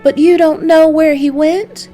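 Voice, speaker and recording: A woman's voice: high voice, steady tone, a little slow, mellow, soft background music, voice pushed up. A woman voicing an anxious, questioning female client in a noir visual novel.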